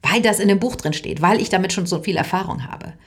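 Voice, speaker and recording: A middle-aged woman voice teacher explaining her work in a calm, thoughtful way, sometimes dropping into a soft whisper. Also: mid-range voice, quick pace, slightly rough. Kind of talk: reflective explanatory monologue.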